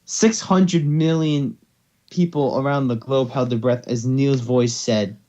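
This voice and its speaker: A man's voice: mid-range voice, measured pace, warm tone. Man narrating a story, slightly dull recording. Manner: stumbles over words while reading. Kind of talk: amateur fan fiction narration.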